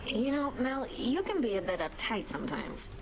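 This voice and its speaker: An irreverent, easygoing game character. Her delivery is casual and teasing with lively intonation, over clear background noise. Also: fairly high pitch, moderate pace. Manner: casual, needling. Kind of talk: video game character acting.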